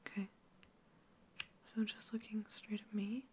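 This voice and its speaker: A woman voicing a curious female alien scientist who is examining a captive human. She whispers softly throughout the ASMR roleplay and asks clinical, matter-of-fact questions. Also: mid-range voice, monotone, measured pace, slight background noise, natural voice. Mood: calm curious. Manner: soft unvoiced whispering throughout.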